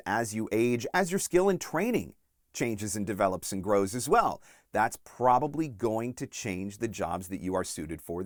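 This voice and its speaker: A man in his forties giving instructional talk as a coach and host, deliberate and emphatic on key points. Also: fairly low pitch, no echo, studio quality. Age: 40s. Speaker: voice-over coach and channel host.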